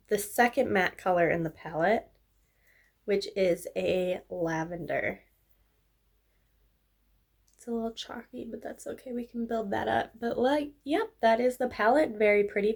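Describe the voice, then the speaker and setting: A young woman talks through a makeup tutorial in a casual, upbeat way, often trailing off into fillers. Her voice sounds a little hoarse and congested, as if she's coming down with a cold. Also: medium pitch. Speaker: beauty vlogger.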